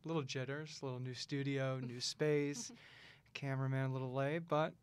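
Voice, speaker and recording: A man's voice: mid-range voice, moderate pace, clear, resonant tone. A man hosting a podcast in a small studio, chatting casually and rambling a little, with frequent laughs. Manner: relaxed, rambling, laughs often. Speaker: podcast host. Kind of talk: casual chat.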